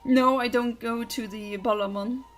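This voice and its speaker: A woman's voice: fairly high pitch, steady tone, measured pace. Chatty young woman. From Luxembourg. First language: Luxembourgish. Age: young adult. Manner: chatty, opinionated, rambling. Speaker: livestreamer. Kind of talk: casual livestream chat.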